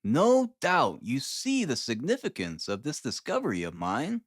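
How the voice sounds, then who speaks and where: A man voicing an exuberant, eccentric male scientist in an audiobook, speaking in an excitable, emphatic way. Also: mid-range voice, a little slow, no echo, studio quality. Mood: cordial, eager. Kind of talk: audiobook character dialogue.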